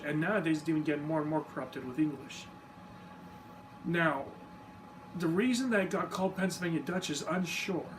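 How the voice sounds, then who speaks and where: Easygoing man, distant mic, noisy. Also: fairly high pitch. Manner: easygoing, self-deprecating, informal asides. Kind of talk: casual explanatory talk to camera. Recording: distant mic with steady background noise.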